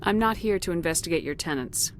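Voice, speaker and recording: A woman's voice: lowish voice, animated, fairly quick, a little hiss or hum, natural voice. A woman playing a confident military space commander in a video game, direct and no-nonsense in manner. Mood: firm, businesslike.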